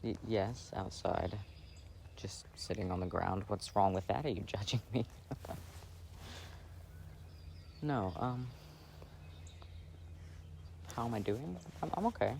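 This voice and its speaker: A man acting as a tender, shy boyfriend in an ASMR audio roleplay. His low voice is soft and full of creak, and he talks slowly with halting, self-doubting affection. Faint birdsong and outdoor sound sit behind him. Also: a little hiss or hum. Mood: shy, pleased. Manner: hesitant, halting, soft-spoken. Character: gentle, insecure, affectionate plant-loving boyfriend reading outdoors. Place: outdoors, sitting on grass. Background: faint birds and outdoor ambience.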